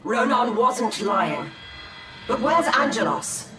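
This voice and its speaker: High, proud alien seer. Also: female voice, very animated, slow pace, background noise. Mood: watchful. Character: proud, guarded female alien seer and assassin. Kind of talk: dramatic game cinematic acting. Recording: echoey hall, music behind.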